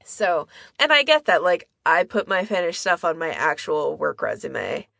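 A woman's voice: lowish voice, lively intonation, moderate pace, gravelly, fairly bright tone, no echo, studio quality. A woman podcast co-host in casual conversation, talking informally and thinking aloud as she goes. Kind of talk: casual chat about fan hobbies.

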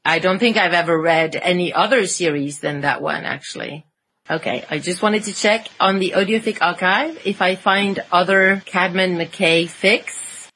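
A woman's voice: on the low side, normal speed, fairly bright tone, a little hiss or hum, poor audio. A woman chatting casually as a co-host on a podcast. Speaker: podcast co-host discussing fan fiction. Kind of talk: casual chat.

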